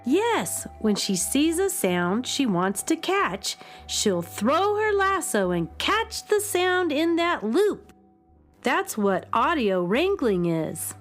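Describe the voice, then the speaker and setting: Animated young music student. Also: female voice, sits high, takes it slowly, heavily acted. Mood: eager, explaining. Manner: emphatic, explanatory. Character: eager young music student, knowing adoring fan. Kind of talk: children's radio drama acting.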